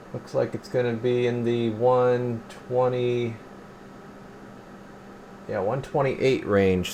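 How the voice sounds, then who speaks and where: A man's voice: medium pitch, slight background noise. A man hosting an informal hands-on demonstration, talking viewers through each step as he works, sometimes half muttering to himself. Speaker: host of a radio repair and test video channel. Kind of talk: casual hands-on demonstration, talking while working.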